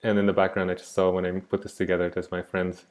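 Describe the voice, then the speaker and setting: A man's voice: sits low, monotone, fast talker. A man in his thirties from Switzerland, teaching an online art class in a reflective, digressive way over a laptop mic. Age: 30s. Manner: reflective, digressive, soft-spoken. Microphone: laptop mic on a video call.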